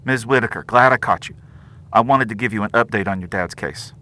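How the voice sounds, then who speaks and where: An actor playing a brisk, suspicious investigator in an audio drama, businesslike and probing. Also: mid-range voice, sharp tone, lightly acted. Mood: brisk, official.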